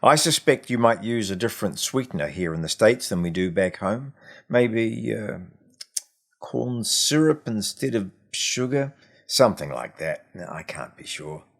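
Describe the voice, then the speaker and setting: A man's voice: fairly low pitch, lively intonation, a little slow, vocal fry, exaggerated voice. A man voicing a frank, exacting teenage boy in a story, firm and methodical. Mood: cautious. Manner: firm, matter-of-fact, methodical.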